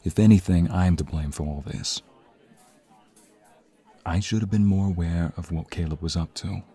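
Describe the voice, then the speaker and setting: A man's voice: low voice, normal speed, dark tone, breathy edge. A man playing a protective mobster's son in an ASMR roleplay drama, intimate and earnest, able to turn menacing. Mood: tender, worried. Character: protective young mobster's son, tender lover, menacing when threatened.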